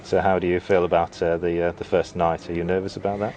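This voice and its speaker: A man's voice: deep voice, flat delivery, quick pace, darkish tone, a little hiss or hum. A man conducting a casual on-location interview as the interviewer, asking friendly questions. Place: backstage at a theatre.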